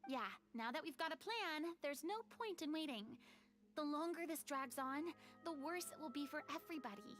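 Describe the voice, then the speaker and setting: A woman's voice: sits high, animated, moderate pace, a bit bright. A woman voicing a thoughtful young female adventurer in an anime game. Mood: resolved. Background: music.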